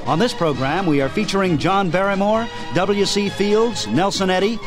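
A man's voice: high-pitched, moderate pace. A man announcing a radio program as host, reading a scripted introduction. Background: clear music.

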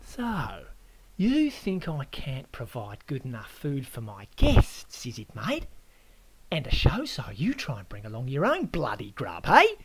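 A man's voice: high-pitched, very animated, moderate pace, hardly any pauses, a bit bright, a little hiss or hum, voice pushed up, heavily acted, low-quality recording. A man voicing a blustering host who swears and mocks his guest. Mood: taunting. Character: loud brash Australian male host, bullying.

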